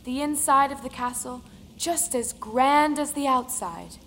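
A woman narrates a folktale audio drama in a high voice. Soft music plays behind her scripted lines. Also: unhurried.

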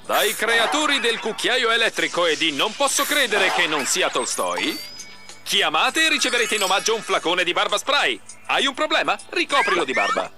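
A man's voice: high voice, wildly swooping pitch, moderate pace, over music. A man playing a brash, hammy TV announcer delivering a hard-selling parody commercial in a cartoon.

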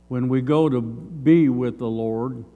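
An older man in his seventies giving a Sunday school Bible lesson, reading from notes in measured, halting short phrases. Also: mid-range voice, phone-quality sound. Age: older, around 70s. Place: church sanctuary, at a lectern. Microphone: gooseneck lectern microphone. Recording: long hall reverb.

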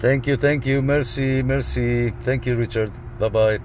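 A man's voice: medium pitch, steady tone, normal speed. A man talking casually as a CB radio operator, recorded inside a vehicle. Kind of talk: casual radio chat to camera. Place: inside a parked minivan outdoors. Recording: faint engine and car noise. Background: faint vehicle and outdoor noise.

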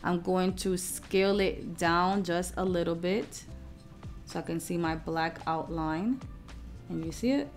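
A woman hosting a craft software tutorial, explaining each step in an informal, casual way. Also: on the low side, a little slow, soft background music.

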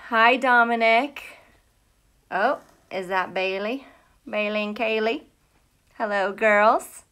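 A woman's voice: on the high side, takes it slowly, clear, resonant tone. A middle-aged American woman, a teacher, chatting casually and animatedly with children on a livestream from a room at home. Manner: chatty and animated, greeting viewers by name, asking questions. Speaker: elementary school teacher hosting a live read-aloud. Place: small room at home, indoors. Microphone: phone or tablet at arm's length.

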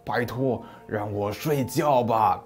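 A man voicing a weary male devil who talks quietly and whines for sleep. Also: on the low side, slow pace, soft background music, voice pushed down. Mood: weary whining. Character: sleepy grumbling male devil, later greedy king.

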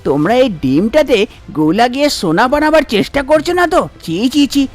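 A woman's voice: sits high, sing-song, fairly quick, soft background music. A woman voicing a blunt, scornful grown son of a village household in a cartoon fairy tale. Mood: disgusted.

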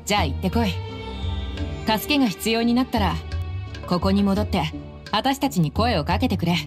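A woman's voice: mid-range voice, lively intonation, a little fast, over music, natural voice. A woman playing a bold, easygoing mercenary in a video game, tomboyish, blunt and familiar. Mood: supportive.

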